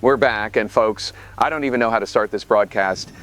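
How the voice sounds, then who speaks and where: An actor playing a shaken, agitated talk radio host in an audio drama, speaking in emphatic, clipped phrases. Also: male voice, fairly low pitch, moderate pace, a bit bright, natural voice.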